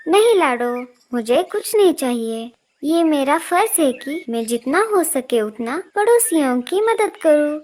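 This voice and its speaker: Woman as a brisk, high-voiced helpful cartoon nightingale. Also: big pitch swings, a little mellow. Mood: earnest, willing. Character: selfless, dutiful female nightingale neighbour Bulbul. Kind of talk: Hindi children's cartoon story voice acting.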